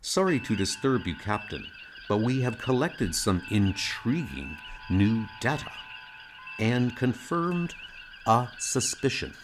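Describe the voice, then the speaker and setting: A man acts out a logical alien science officer in a comic-book audio drama. He is formal and deadpan, setting out each phrase slowly and precisely over light background noise. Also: medium pitch. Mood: calm, clinical.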